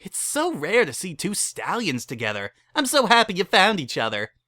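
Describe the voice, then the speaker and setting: A man plays an eager pony mare selling weddings in a storybook reading. The voice is lifted high and bright, full of chirpy, insistent cheer, and recorded dry and close. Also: wildly swooping pitch, normal speed, no echo, exaggerated voice. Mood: gushing, pushy. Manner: eager, chirpy sales patter. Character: pushy, cheery mare who runs a wedding chapel. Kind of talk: dramatic reading of fan fiction.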